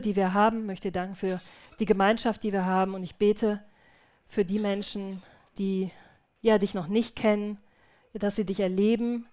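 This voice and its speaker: A woman's voice: medium pitch, a little mellow. Earnest woman giving a church testimony. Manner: earnest and reflective, with hesitant restarts. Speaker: returning missionary speaking in a church service. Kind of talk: church testimony followed by spoken prayer. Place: church service.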